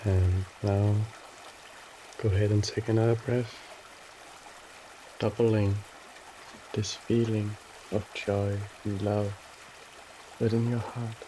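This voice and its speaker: Guy doing guided meditation, super slow and soothing, dark mellow voice, faint stream trickling behind. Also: lowish voice, level tone, a little hiss or hum, rough recording. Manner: soothing, gently instructing, short pausing phrases.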